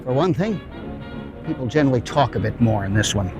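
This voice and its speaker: A man's voice: mid-range voice, animated, fairly quick, music in the background. A man presenting a scripted, folksy introduction as the host of an audio drama show.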